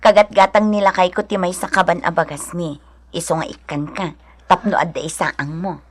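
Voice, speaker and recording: A woman acting a kind, generous, devout wife and neighbor, gentle and obliging, in a radio drama. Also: fairly low pitch, fairly even intonation, fairly quick, close to an everyday voice. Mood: kindly.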